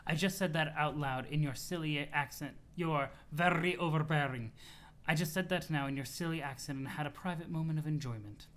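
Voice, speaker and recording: Man as a prim, dryly reproving troll woman, crisply bright. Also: a little high, lightly acted. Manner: measured, wordy, dryly reproving. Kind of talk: comic read-aloud of a webcomic.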